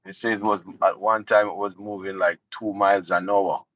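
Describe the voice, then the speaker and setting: A man's voice: on the low side, flat delivery, normal speed, a bit bright. A Jamaican man giving casual political commentary, indignant and sarcastic. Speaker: vlogger. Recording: dull lo-fi dry sound.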